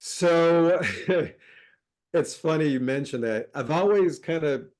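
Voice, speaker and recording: A middle-aged man from Colombia, a podcast guest chatting casually, relaxed, with frequent fillers and easy laughter. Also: a little high, takes it slowly. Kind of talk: casual interview chat.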